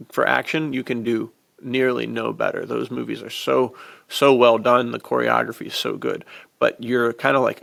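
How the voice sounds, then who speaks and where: A man's voice: mid-range voice, gravelly, a bit bright. A man co-hosting a podcast, talking casually in an informal, emphatic way. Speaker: podcast co-host. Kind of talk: casual chat.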